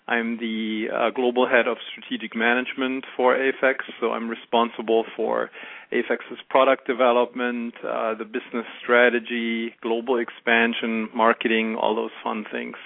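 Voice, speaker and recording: A man with a German accent, a guest expert on international payments, speaking in a measured way with many fillers. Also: medium pitch, completely flat delivery, gravelly, no echo. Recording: phone-like line.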